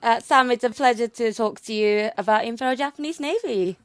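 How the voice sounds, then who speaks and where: Female guest expert, young adult, smooth clear tone, informal academic explaining, dry recording. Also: fairly high pitch, brisk, no echo. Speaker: interview guest, naval historian.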